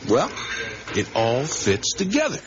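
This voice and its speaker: Genial, rambling road-trip dad for radio play; hesitant, musing delivery; dull, faintly hissy recording. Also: male voice, medium pitch, wildly swooping pitch, a little slow. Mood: earnest, musing. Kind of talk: naturalistic 1980s radio drama acting.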